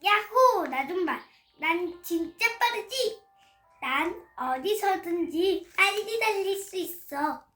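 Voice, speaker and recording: A young girl playing a high-pitched, boastful little girl. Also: lively intonation, slow, bright, forceful tone, faint music behind, exaggerated voice. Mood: excited boasting. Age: child, 8 years old. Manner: exclaiming, showy. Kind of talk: children's storybook acting. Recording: roomy echo.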